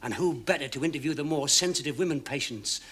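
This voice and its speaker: An actor playing a wily, wheedling hospital patient in a sitcom, slyly flattering and mock-sincere. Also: male voice, a little high, fast, heavy background noise, natural voice. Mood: coaxing. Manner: sly, flattering. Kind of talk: sitcom acting.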